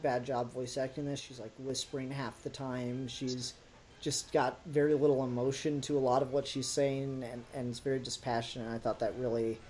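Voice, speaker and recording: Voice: mid-range voice, level tone, normal speed, darkish tone, poor audio. This is a podcast host in casual review conversation. The delivery is critical and exasperated, with emphatic stress on the points being made.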